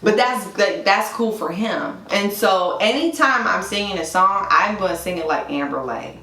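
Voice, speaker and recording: A woman's voice: medium pitch, animated, moderate pace, bright, forceful tone, reverberant, distant mic, poor audio. A woman guest talking casually about singing, chatty and full of "like". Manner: chatty, laughs easily, lots of "like". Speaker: guest vocalist.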